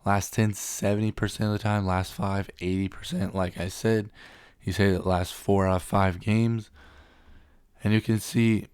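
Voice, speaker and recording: Low flat-voiced man with a cold. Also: a little slow, clean tone, dry recording. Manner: reads stats off screen with filler ums. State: getting over a cold. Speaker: sports betting picks host. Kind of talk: casual screen-share picks rundown.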